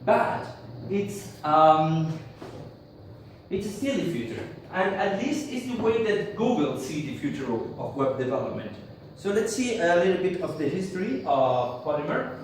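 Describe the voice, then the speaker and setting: A man from Argentina giving a conference tech talk to an audience in English as a second language, halting, with many fillers and restarts. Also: sits high, big pitch swings, slight background noise. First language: Spanish. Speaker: conference speaker. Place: conference room. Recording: echoey hall, speaker far from mic.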